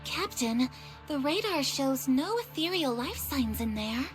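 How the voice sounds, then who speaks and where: High-voiced, alert young female teammate. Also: big pitch swings, unhurried, faint music behind. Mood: reassuring. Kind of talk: video game voice acting.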